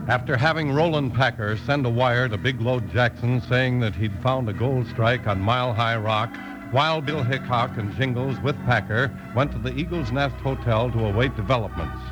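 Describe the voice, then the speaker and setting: A man's voice: medium pitch, moderate pace, music in the background, rough recording. A man working as announcer and narrator on a 1950s children's radio show, reading scripted copy.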